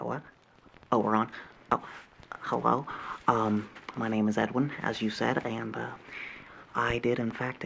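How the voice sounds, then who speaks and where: A man voicing a nervous, nerdy male alien hobbyist who hesitates constantly in a soft-spoken roleplay. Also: mid-range voice, vocal fry, a little hiss or hum. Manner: hesitant, full of ums and uhs.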